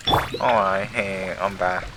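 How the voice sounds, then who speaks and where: A man's voice: deep voice, fairly even intonation, slow, very noisy. A man giving casual playthrough commentary in sparse, offhand remarks. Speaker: game streamer.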